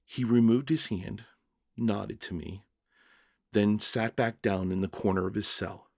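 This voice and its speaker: A man narrating a short story in the first person, in a measured, reflective and dramatic reading. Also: fairly low pitch, creaky, darkish tone, dry recording, studio quality.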